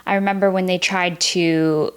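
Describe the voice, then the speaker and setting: A woman's voice: on the low side, fairly even intonation, moderate pace, smooth. A woman in her early thirties from the United States, a native Vietnamese speaker, speaking openly and reflectively as a podcast guest in casual conversation. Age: early 30s. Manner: open and reflective, casual filler "like". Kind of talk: candid personal storytelling in conversation.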